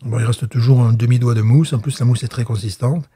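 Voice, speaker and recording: Mellow-voiced man chatting about beer. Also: mid-range voice, fairly even intonation, fairly quick, slightly rough. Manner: hesitant, many fillers and restarts. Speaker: podcast co-host. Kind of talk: casual beer-tasting chat.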